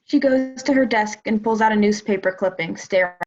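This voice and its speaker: A woman's voice: mid-range voice, a little fast, darkish tone, dull audio. A woman narrating the stage directions in a play readthrough.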